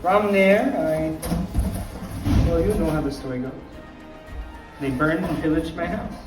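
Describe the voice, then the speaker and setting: A theatrical old vampire count, played by a man, hosts a craft tutorial and drifts off into stories. His voice sits a little high. He is recorded from a distance in a very echoey room with music playing underneath. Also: unhurried, close to an everyday voice. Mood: instructive. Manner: digressive, wanders into anecdotes. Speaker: craft tutorial host in character. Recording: very echoey room, mic from afar.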